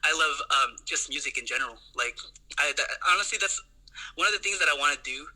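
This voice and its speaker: A man's voice: a little high, expressive, fast, pushed and bright, low-quality recording. A young man from the Philippines, a podcast guest, chatting enthusiastically and rambling with many fillers and restarts. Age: young adult. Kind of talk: casual chat.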